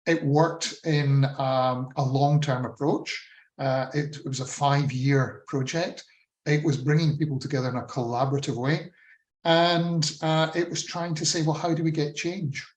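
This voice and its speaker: A man with a Scottish accent presenting slides on a video call, measured and with frequent ums and uhs. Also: fairly high pitch, fairly even intonation, reverberant. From Scotland. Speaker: presenter on a funding model. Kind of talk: talk with screen-shared slides.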